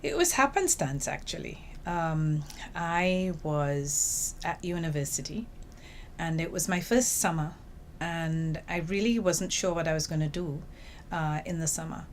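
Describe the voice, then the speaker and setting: An older woman from Trinidad and Tobago with a Trinidadian accent, telling her story in a lively way in an interview, seated in a small office. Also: low voice, unhurried, a little hiss or hum. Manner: lively storytelling, acts out quoted remarks. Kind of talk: reflective interview answers.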